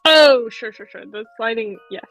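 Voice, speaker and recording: A woman's voice: on the high side, moderate pace, fairly bright tone, soft background music. A woman chatting casually with friends during a group game playthrough. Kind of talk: casual chat.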